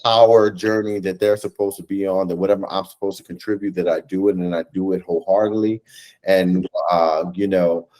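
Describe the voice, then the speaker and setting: Animated American man, low register, unscripted storyteller in a remote interview. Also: a little fast, poor audio. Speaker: theatre director and writer, interview guest. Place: indoors, on a video call.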